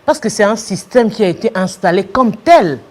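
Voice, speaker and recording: A woman's voice: mid-range voice, animated, normal speed, a little mellow. A woman hosting a TV interview, assertive, pressing her guest and insisting on finishing her point. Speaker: TV talk show host.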